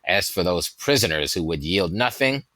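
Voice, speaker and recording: A man's voice: deep voice, expressive, moderate pace, pushed and bright, dry recording. A man voicing a big, boisterous, red-bearded old soldier and jester in a storybook, hearty, declamatory and mocking. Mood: grave, scornful. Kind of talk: storybook character voice in audiobook reading.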